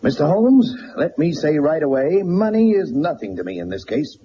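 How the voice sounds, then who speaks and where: An actor playing a rich, blustering, domineering middle-aged tycoon in a radio drama, blunt and imperious. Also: male voice, fairly high pitch, sing-song, normal speed. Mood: brash, insistent. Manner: forceful wording, blunt, imperious. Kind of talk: mid-century radio drama acting. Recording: muffled old radio recording, faint hiss.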